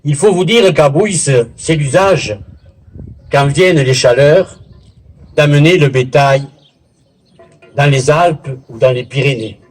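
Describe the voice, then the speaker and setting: Husky, slow-speaking male orator. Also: fairly high pitch. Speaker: local intercommunal president giving a speech. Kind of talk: formal public speech at a village festival, with literary recitation. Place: village festival in Bouisse, addressing a gathering.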